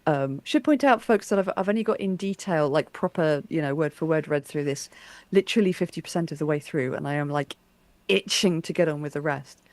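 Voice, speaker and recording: Animated interviewer, dry close sound. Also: medium pitch, fairly quick, dry recording. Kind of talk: casual conversational interview.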